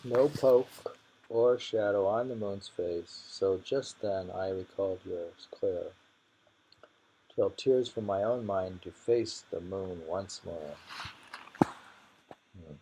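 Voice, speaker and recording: A man with a gravelly, husky voice reads poetry slowly and deliberately, lingering over phrases and adding informal commentary. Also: low-pitched, warm tone, rough recording.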